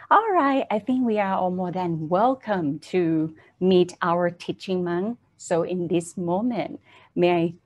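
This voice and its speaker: A woman's voice: on the low side, darkish tone. A woman hosting an online session, introducing a speaker in friendly, slightly with fillers, over a video call. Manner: friendly, non-native phrasing, fillers. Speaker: host.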